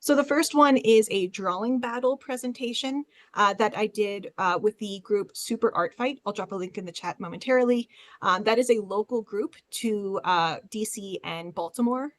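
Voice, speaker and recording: A woman's voice: medium pitch, normal speed, dry recording. A woman who is a librarian giving an informal presentation as a panelist on a webinar.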